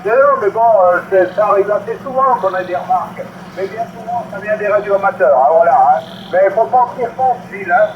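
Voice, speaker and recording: High-voiced man over a noisy radio. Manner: friendly, measured, ends with "hein" and "voilà". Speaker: CB radio operator. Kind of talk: casual radio chat. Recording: roomy, muffled radio transmission with loud noise.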